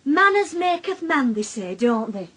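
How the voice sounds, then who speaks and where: An actress playing a sharp-tongued, long-suffering wife in a British radio drama, dry and cutting, with a Northern English accent. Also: female voice, high-pitched, very animated, a little slow, heavily acted. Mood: scornful.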